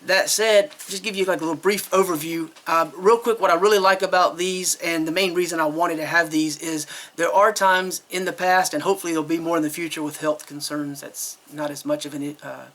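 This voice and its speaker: A man with a fairly high, very bright and forward voice talks through a product review. He is chatty and candid, wandering a little as he explains things in an unscripted, casual way. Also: steady tone, fairly quick, few pauses.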